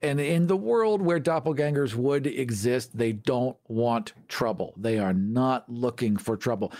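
A man's voice: mid-range voice, a little slow, a little mellow. A man co-hosting a podcast in casual discussion, making short, emphatic statements. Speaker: podcast co-host. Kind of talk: casual discussion of fantasy game monsters.

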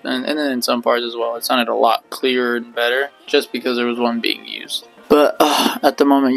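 A man's voice: medium pitch, creaky, a bit bright. A man in his twenties talking casually to camera in a slangy, rambling way, on a small mic in a bedroom. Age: 20s. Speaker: vlogger. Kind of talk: casual direct-to-camera vlog talk. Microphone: small lapel mic held near the chin.

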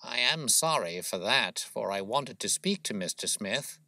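A man voicing a shrewd, smooth-talking gentleman detective, suave and probing, in an audiobook story. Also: on the low side, expressive, a little slow, no room sound, voice pushed up, full character voice.

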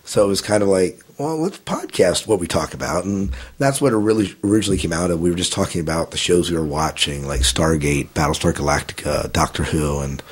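A man in his thirties with a low, husky voice talks through his story as a podcast guest. He rambles easily, circling back with fillers and restarts. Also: fairly quick, few pauses. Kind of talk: casual interview answers.

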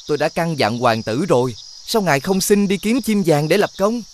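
A man voicing a wise, friendly talking fox in an animated fairy tale, earnest and insistent as he gives advice. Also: fairly high pitch, moderate pace, a little hiss or hum. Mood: indignant, urgent.

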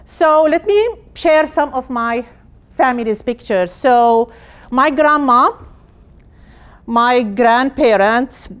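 A middle-aged woman from Palestine, a hospital director, giving an energetic personal talk in a conference room with phrasing. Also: fairly high pitch, slow, clear, resonant tone. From Jerusalem, Palestine. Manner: energetic, gesturing while speaking, non-native phrasing. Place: conference room with projected slides.

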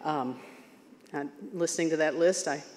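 A woman in her sixties giving a humble award acceptance speech from a podium, halting with many fillers. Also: low voice, noisy background. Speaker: award recipient. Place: large banquet hall stage. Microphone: podium microphone. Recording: long hall reverb.

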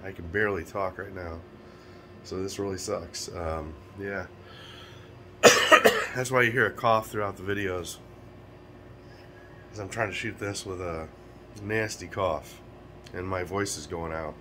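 An American man in his forties, hoarse and raspy from a cold with a stuffed nose, rambling loosely to a close-held phone camera in a small room and chuckling often. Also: sits low, steady tone, a little slow, pauses often, noisy background. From United States. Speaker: vlogger. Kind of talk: casual vlog talk.

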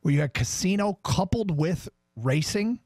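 A man's voice: sits high, animated, normal speed, darkish tone, husky, no room sound. A man with an American accent hosting sports radio, talking through news and commentary on a studio microphone. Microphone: studio broadcast microphone.

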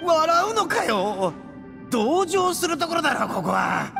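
An actor playing a boastful young gang boss who talks loudly with rough slang and a gravelly voice. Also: male voice, very high voice, very animated, unhurried, long reverb tail, full character voice. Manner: loud, swaggering, coarse slang. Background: game music.

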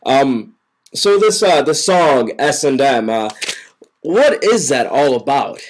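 A man's voice: mid-range voice, very animated, slow, slight background noise. Young man as a flustered mock interviewer. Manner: fake-polite, flustered talk-show questions. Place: living room at home. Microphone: webcam.

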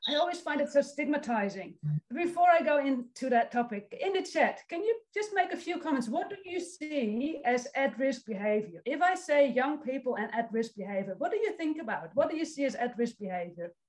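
A woman's voice: high voice, expressive, a little fast, a little mellow. Dutch woman giving an online talk. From Netherlands. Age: 40s. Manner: friendly, encouraging, reads out audience comments. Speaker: social work lecturer. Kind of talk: online presentation to a youth summit.

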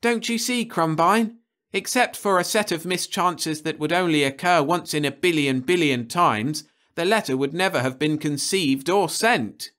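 A man voicing an excitable male official who speaks eagerly. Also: fairly high pitch, normal speed, voice pushed up, lightly acted. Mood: excited.

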